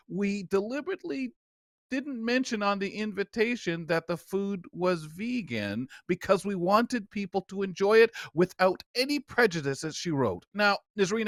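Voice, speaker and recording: A man hosts a radio magazine show in a high voice that moves around a lot. His storytelling is theatrical, and the sound is close and dry. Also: a bit bright, dry recording. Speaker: talk show host.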